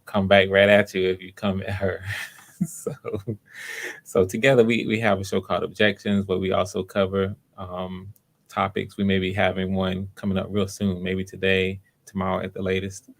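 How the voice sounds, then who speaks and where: A man with a fairly low voice hosts a casual livestream. His pitch stays fairly flat and steady as he chats with viewers. He comes across as encouraging and appreciative. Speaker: livestream host.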